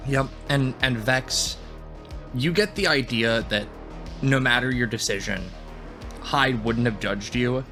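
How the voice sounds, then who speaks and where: A man's voice: medium pitch, slow, a bit bright, faint music behind. A man playing a tabletop game who narrates his character casually and loosely, with some swearing. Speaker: player.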